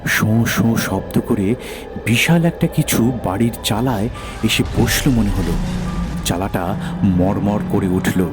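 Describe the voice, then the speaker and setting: A man's voice: on the low side, slow pace, long pauses, over music. A man narrating a first-person horror audio story in a dramatic storytelling style.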